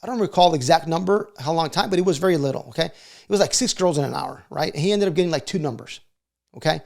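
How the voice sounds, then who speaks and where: A man's voice: fairly high pitch, quick pace, vocal fry. A middle-aged man giving casual motivational advice as a video host, conversational and often checking in for agreement. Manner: conversational, frequently seeks agreement with short check questions.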